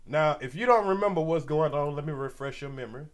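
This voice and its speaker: Brief: forty-something male livestream host, stuffy nose, loose slangy ramble, slightly high voice. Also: fast talker. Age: 40s. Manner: loose, rambling, slangy, talks straight to viewers. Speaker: commentary channel host. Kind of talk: casual livestream commentary.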